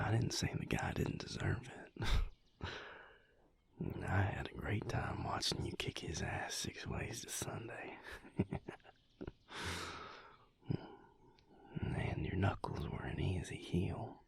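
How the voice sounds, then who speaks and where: A man playing an affectionate, teasing vampire lover in an intimate audio roleplay, speaking softly and unhurriedly in a whispery, gravelly voice. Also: sits low, expressive, exaggerated voice. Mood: fond, amused. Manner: unhurried, soft, drily teasing.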